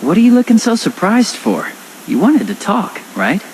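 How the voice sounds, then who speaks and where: A man's voice: high voice, huge pitch swings, moderate pace, a little hiss or hum, exaggerated voice, rough recording. A man playing a brash, hot-headed teenage boy in a video game, talking bluntly in casual slang. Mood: casual, surprised. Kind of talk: animated video game voice acting. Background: loud music.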